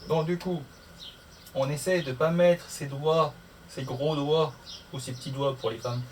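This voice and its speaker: A man's voice: a little high, steady tone, measured pace, a little mellow. A man chatting casually to camera as a vlogger doing a hands-on tutorial, often muttering to himself as he works through the steps. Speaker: motorcycle vlogger. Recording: distant mic, clear background noise.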